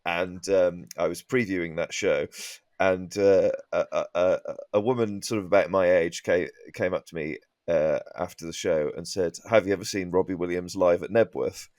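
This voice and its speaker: A man telling comic anecdotes as a podcast guest in a halting, rambling way. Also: deep voice, steady tone, darkish tone, no room sound, studio quality.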